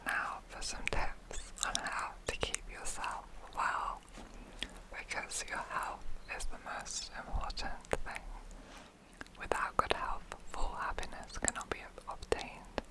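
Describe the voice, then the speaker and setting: The voice is a soft, breathy whisper with no voicing at all. It reads like an ASMR version of a 1940s wartime broadcast. The phrasing is measured and instructive, each piece of advice set out carefully. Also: rough recording. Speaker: ASMR reader.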